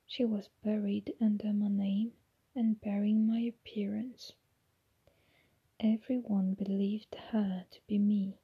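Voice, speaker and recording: A woman whispering the part of a drugged young male prisoner who speaks in a flat, expressionless voice. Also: medium pitch, slow pace, slightly rough, phone-quality sound, exaggerated voice.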